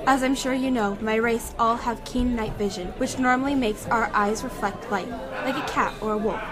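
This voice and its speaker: Composed, lofty sorceress played by a woman, higher-pitched. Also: normal speed, close to an everyday voice. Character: composed, proud non-human sorceress with glowing eyes. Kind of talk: fantasy comedy audio drama acting. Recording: music and crowd chatter behind.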